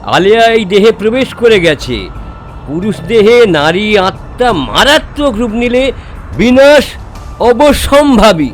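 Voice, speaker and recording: A man's voice: high-pitched, sing-song, takes it slowly, faint music behind, exaggerated voice. A man playing a grave, alarmed tantric guru in a horror audio drama, speaking in an urgent, commanding and dramatic way. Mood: grave warning.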